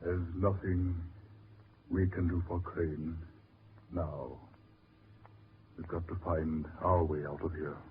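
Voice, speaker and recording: An actor playing a practical, level-headed man with a measured, sober delivery in 1940s radio horror style. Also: deep voice, little pitch movement. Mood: resolute. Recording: tinny, noisy 1940s radio recording.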